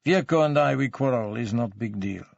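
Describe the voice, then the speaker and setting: A man's voice: mid-range voice, moderate pace, vocal fry, no echo, lo-fi sound, natural voice. A man voicing a surly, evasive male crime suspect with an Eastern European accent, whining in terse broken English. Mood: surly, defiant.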